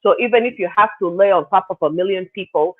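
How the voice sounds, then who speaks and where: A man's voice: sits high, fast. A man from South Sudan, a guest in an interview, telling his story in a loose, rambling way with lots of fillers. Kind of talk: casual interview answers. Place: living in Canada. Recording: tinny phone line.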